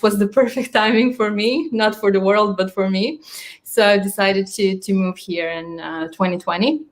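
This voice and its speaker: A woman. Her pitch sits in the middle, and she answers earnestly, often restarting her sentences. She is heard over a video call. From Croatia. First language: Croatian. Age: 30s. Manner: earnest, frequent restarts and fillers. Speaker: guest. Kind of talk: interview answers.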